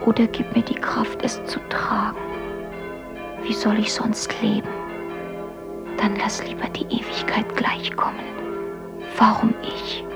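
An actress playing a timid young foster daughter with a limp, speaking in a hushed, meek, pleading way in a radio play. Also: female voice, a little high, completely flat delivery, unhurried, pauses often, in a whisper, loud music behind the voice. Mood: whispered prayer.

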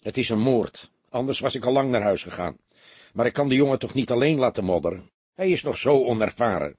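A man voicing a weary, dutiful veteran police detective with a dry, deadpan and pensive manner, in an audiobook. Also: medium pitch, fairly quick, slightly rough, dry recording.

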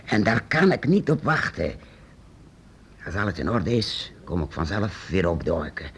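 Guy doing eccentric old-style master painter, low and husky, hesitant. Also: sing-song, a bit bright, heavily acted. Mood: urgent secretive. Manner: hesitant, halting then urgent. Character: secretive eccentric male master painter-inventor. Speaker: actor. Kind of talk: radio drama acting.

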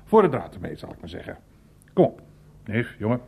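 Blunt older uncle, animated intonation, radio drama, nosy, insistent, wry. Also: male voice, fairly low pitch, fairly quick, vocal fry, slightly rough, heavily acted. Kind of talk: radio play dialogue.

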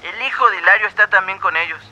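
A man's voice: high-pitched, quick pace, pushed and bright, muffled recording. An actor playing a dutiful informant who reports deferentially to his boss in a radio drama. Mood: worried reporting.

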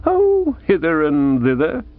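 A man voicing a breezy, genial young gentleman talking with his aunt. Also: a little high, huge pitch swings, slow pace, narrow, tinny audio, pitched above the performer's own voice, exaggerated voice. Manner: breezy, jaunty, upper-class. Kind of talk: audiobook character voice.

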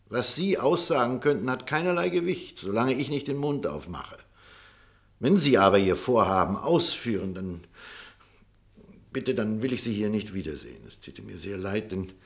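An actor playing a stubborn, principled doctor in a German radio drama, speaking formally and firmly. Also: male voice, fairly low pitch, gravelly. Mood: stern, then grave, torn. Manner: formal, firm, measured argument.

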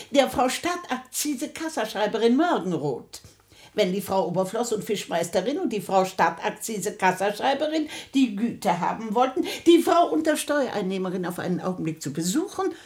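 Casting: pompous old matriarch, husky, swooping delivery, fussy and bustling, theatrical radio comedy. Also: female voice, mid-range voice, darkish tone. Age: older. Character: bustling, self-important old small-town grandmother.

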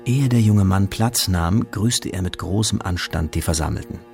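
Deep, creaky-voiced literary narrator. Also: male voice, moderate pace, darkish tone, soft background music. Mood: composed. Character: composed literary narrator of a period novel. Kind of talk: scripted narration in German radio drama.

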